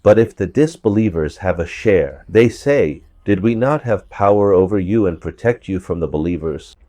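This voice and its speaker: A man with a hoarse voice from a cold, giving verse-by-verse scripture commentary and repeating points for emphasis. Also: low voice, expressive, moderate pace, dark tone, dry recording. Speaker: religious commentator.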